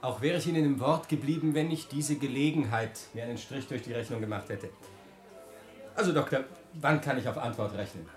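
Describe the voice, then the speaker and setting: An actor playing a cheeky young spendthrift son in a radio drama, flippant and wheedling. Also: male voice, mid-range voice, a little fast, soft background music, lightly acted. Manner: flippant, wheedling, mock-declamatory. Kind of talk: German radio drama acting. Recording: roomy echo around the voice.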